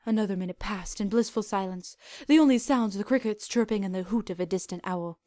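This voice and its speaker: Woman doing audiobook style fanfic narration, medium pitch, expressive, no room echo. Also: a little fast, clean studio sound. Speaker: fan fiction reader.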